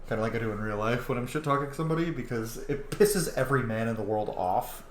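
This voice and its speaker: A man's voice: medium pitch, fast talker. Profane joking man playing a game. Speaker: gameplay commentator. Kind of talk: casual game commentary. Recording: roomy room with faint noise.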